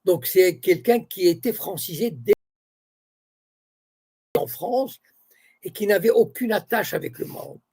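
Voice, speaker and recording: A man's voice: high voice, lively intonation, measured pace, long pauses, a little mellow, husky. A man from Morocco whose first language is Arabic, answering questions as an interview guest and explaining point by point with many restarts.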